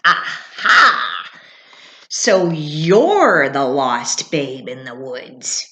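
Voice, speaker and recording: Woman playing a grand, loud, slow cardinal bird. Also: extremely low pitch, animated, voice pushed down, full character voice. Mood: grand, eager. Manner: grand, declamatory, drawn-out. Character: bold cardinal bird announcing himself, eager to help. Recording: echoey, roomy sound.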